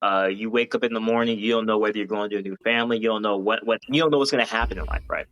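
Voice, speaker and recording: A man's voice: on the low side, steady tone, rapid-fire, fairly bright tone, muffled recording. A man from Hawaii, a podcast guest, talking in an earnest, rambling way with many fillers and restarts. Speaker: guest, founder of a gaming company. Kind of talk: casual podcast interview.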